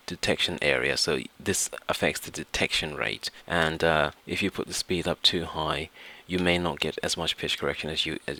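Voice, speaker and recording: A man presenting a step-by-step software tutorial, explaining things and often repeating and rephrasing his phrases. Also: extremely low pitch.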